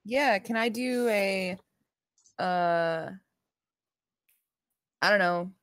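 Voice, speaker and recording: Woman player at game table, casual, medium pitch, dry close sound. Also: extremely slow, fairly bright tone, dry recording.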